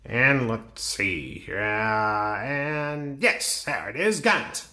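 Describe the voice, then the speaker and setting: A man's voice: mid-range voice, lively intonation, extremely slow, pushed and bright, heavily acted. A man playing a courteous, deferential bank clerk in an old-time radio drama, with a fussy, polite manner.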